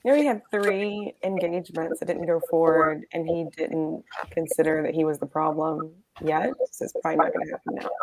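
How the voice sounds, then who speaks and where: A woman's voice: deep voice, fairly even intonation, fairly quick, few pauses, rough recording. A woman guest co-host on a reality TV recap, wry and joking.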